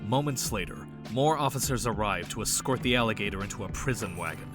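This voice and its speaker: Male narrator, slightly deep, scripted audio drama storytelling over a music bed. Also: fast talker. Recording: music under the voice.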